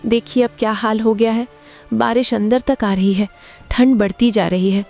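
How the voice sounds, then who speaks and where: A woman's mellow voice with a noticeable creak as an actress plays a poor labourer's worried wife. She pleads and complains in cartoon moral-story style, over faint music. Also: on the high side, steady tone, moderate pace. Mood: upset, reproachful.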